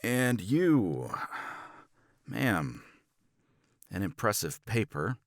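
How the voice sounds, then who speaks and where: A blunt, proud college professor, acted by a man for a romance ASMR roleplay, with a very deep, creaky voice. He talks slowly and articulately with a sardonic, halting edge, recorded close and dry. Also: very animated, no echo, heavily acted. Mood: probing, grudging praise. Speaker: voice actor in audio roleplay.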